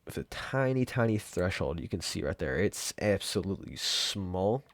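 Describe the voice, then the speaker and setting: A young man hosting a casual software tutorial in an informal, rambling way. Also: low voice, very animated, moderate pace, no room sound. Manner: informal, rambling, mild swearing. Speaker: tutorial host. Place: room at home.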